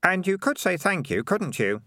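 Man voicing a sensible boy, raised pitch. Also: very animated, normal speed, pushed and bright, no room sound, studio quality, full character voice. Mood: reproving. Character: sensible, mildly reproving boy. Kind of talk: audiobook character voice.

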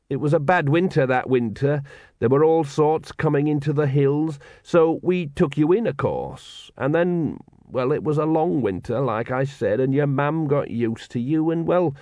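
A man's voice: medium pitch, darkish tone, no room sound. Man reading old dwarf father, nervous, hesitant, unfussy mid voice, dry close read. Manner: hesitant, halting with ums. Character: worried old male dwarf king, fumbling.

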